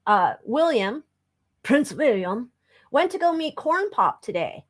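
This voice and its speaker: A woman's voice: high voice, big pitch swings, takes it slowly. A woman with a boyish, cartoonish voice chatting playfully as a livestream co-host. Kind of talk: casual chat.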